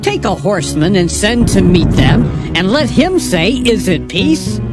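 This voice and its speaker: A man voicing an alarmed, wounded king who speaks in a drawn-out, pleading way, over loud music. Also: high-pitched, animated, voice pushed up. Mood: commanding.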